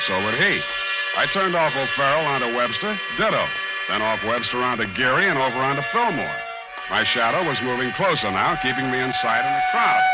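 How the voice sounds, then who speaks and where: An actor playing a wisecracking, world-weary private detective in 1940s radio drama style, with wry, clipped delivery. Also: male voice, medium pitch, lightly acted, poor audio. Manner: wry, clipped, flirtatious banter. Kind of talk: 1940s radio drama acting, first-person narration. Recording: vintage radio broadcast with music.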